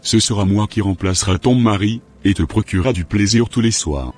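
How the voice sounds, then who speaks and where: A man voicing a menacing, domineering spiritual master in an amateur cartoon dub, speaking in a commanding, deliberate way. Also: lowish voice, a little mellow, natural voice. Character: menacing, domineering male spiritual master, a sinister occult healer.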